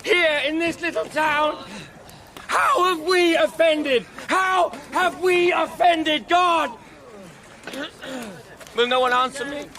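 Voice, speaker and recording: An actor playing a shattered, despairing curate in a radio drama, declaiming and pleading with fervour. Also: male voice, very high voice, slow, pauses often. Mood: anguished outrage. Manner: declamatory, pleading, rhetorical questions. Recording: clearly noisy outdoor background sound.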